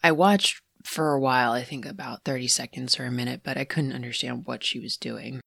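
An actress playing a rattled, analytical woman investigator who haltingly recounts eerie sightings in a confessional horror audio drama. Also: very deep voice, vocal fry, a bit bright, no echo, clean studio sound, heavily acted. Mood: tense, haunted. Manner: halting, hesitant, self-correcting.

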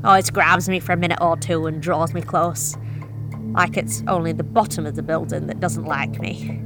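A young woman reading a first-person horror story in a wry, confessional, conversational way. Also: on the low side. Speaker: narrator.

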